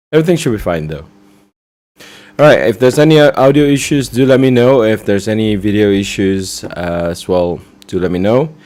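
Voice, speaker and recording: Man, slightly low voice, going hoarse, walks viewers through trades with lots of ums; webinar presenter. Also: animated, moderate pace, darkish tone. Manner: explains step by step with frequent fillers. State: losing his voice. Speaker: forex trading presenter. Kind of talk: live trading webinar.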